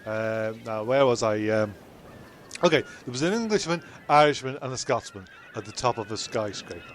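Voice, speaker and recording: A man's voice: medium pitch, lively intonation, moderate pace, slight background noise, natural voice. A man voicing a flustered male castaway comedian who rants and swears when annoyed. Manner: ranting, sweary.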